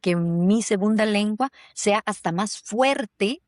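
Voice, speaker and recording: A woman's voice: mid-range voice, takes it slowly, a little mellow, no room sound, studio quality. A woman hosting a podcast, talking in a reflective way with many fillers and restarts. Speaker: podcast host. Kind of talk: conversational podcast talk. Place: lives in Germany.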